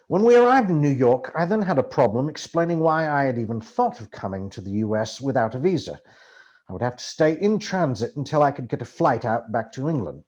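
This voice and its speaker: A man reading a memoir aloud as an amateur audiobook narrator, with theatrical, expressive storytelling. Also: medium pitch, breathy edge. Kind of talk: dramatic literary narration of a memoir.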